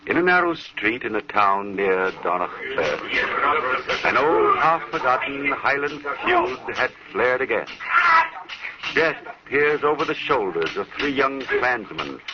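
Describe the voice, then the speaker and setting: An actor playing a grave, theatrical narrator of an old-time radio horror tale. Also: male voice, medium pitch, big pitch swings, slow, heavy background noise. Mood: ominous. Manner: theatrical, portentous. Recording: muffled old radio recording.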